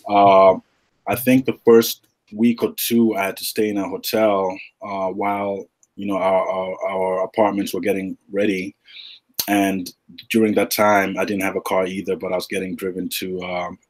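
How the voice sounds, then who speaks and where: A middle-aged man from Uganda, a retired basketball player, answering podcast questions in a relaxed, halting way with many fillers. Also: deep voice, low-quality recording. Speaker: guest, retired pro basketball player and agent. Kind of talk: podcast interview.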